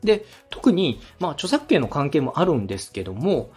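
A man hosting a podcast, politely and methodically giving encouraging production advice in a slightly husky voice. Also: on the high side, very animated, normal speed, a little mellow, soft background music. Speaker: podcast host and radio director giving feedback.

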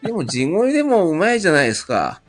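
A man's voice: sits high, wildly swooping pitch, fast, no echo. A man chatting casually as a guest, polite with folksy turns of phrase, with music behind him. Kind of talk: casual chat.

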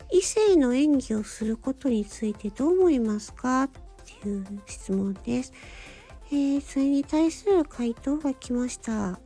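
A woman hosting a solo radio-style talk, speaking politely and gently in short, halting phrases. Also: sits high. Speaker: radio show host and amateur voice actor.

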